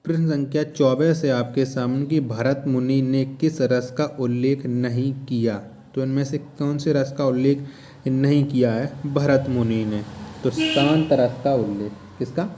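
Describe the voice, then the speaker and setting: A man's voice: medium pitch, dark tone, slight background noise. A man teaching a question-and-answer exam lesson in an instructional way, repeating questions and key words.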